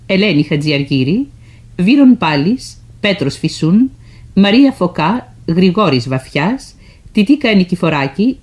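A woman radio announcer reading out scripted credits in a formal, measured manner. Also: mid-range voice, darkish tone.

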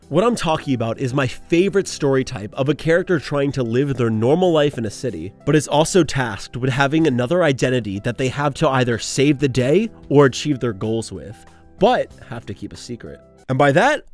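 A man's voice: mid-range voice, a little mellow. A young man hosting a casual commentary video, with sarcastic, self-mocking asides. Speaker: video essay host.

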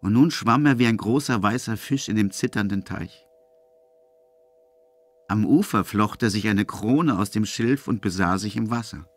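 A man's voice: lowish voice, moderate pace, faint music behind. A man narrating a literary story for a German audio drama as scripted prose.

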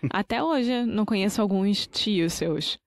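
A woman's voice: mid-range voice, a little slow, no room sound, studio quality. A Brazilian woman co-hosting a casual podcast that teaches Portuguese, who laughs often and pronounces words carefully. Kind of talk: casual language-teaching chat.

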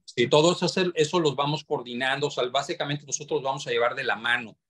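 A man's voice: mid-range voice, quick pace, a bit bright, slightly rough. A man from Mexico, a developer's representative explaining informally on a video call, often searching for words. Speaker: property developer's representative, webinar guest.

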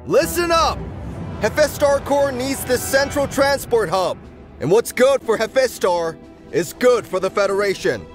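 Casting: dutiful military captain for a game, high-pitched, proud, clipped and soldierly, lively intonation. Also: male voice, unhurried, faint music behind. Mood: rallying. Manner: crisp, disciplined military delivery. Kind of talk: video game character acting.

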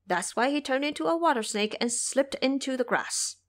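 A woman's voice: sits high, animated, moderate pace, pitched above the performer's own voice. A woman voicing a brash, boastful male monkey sage in an audio drama, speaking emphatically. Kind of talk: audio drama character acting.

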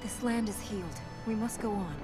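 Loud music plays behind a woman acting a young princess from a video game. She speaks at a medium pitch, earnest and resolute, in short sentences with a dry edge. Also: steady tone, brisk, close to an everyday voice. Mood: earnest, explaining. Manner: serious, terse, dry.

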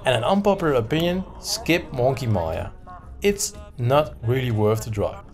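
A man's voice: medium pitch, lively intonation, normal speed, music in the background. A man in his thirties narrating a travel vlog. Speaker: travel vlogger.

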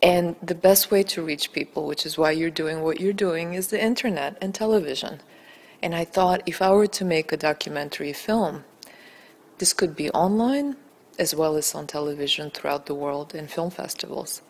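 Low-pitched woman, from Bulgaria, earnest, building emphasis, small indoor room, handheld mic. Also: normal speed. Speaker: documentary film-maker. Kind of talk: interview answers. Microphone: handheld mic held by interviewer.

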